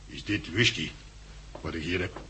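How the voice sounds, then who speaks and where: An actor playing a drunken, despairing blind pub landlord who rambles in coarse, slurred, bitter speech in a radio drama. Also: male voice, deep voice, normal speed, pushed and bright. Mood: gruff, surly. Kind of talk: 1960s radio drama acting. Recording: old 1960s radio recording, faint hiss.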